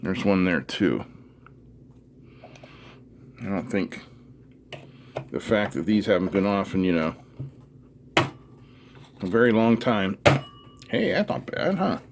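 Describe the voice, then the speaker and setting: A man's voice: sits low, sing-song, slow, long pauses. A middle-aged man narrating hands-on teardown work casually, unscripted, muttering to himself as he goes. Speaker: host of an electronics teardown video. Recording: noisy room recording with clicks.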